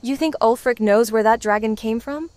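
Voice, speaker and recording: A woman's voice: a little high, moderate pace, a little mellow, no room sound, lightly acted. A woman's synthetic voice playing a brash, blunt, sassy, foul-mouthed adventurer in a fantasy game. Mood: curious. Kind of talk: AI-voiced game character dialogue.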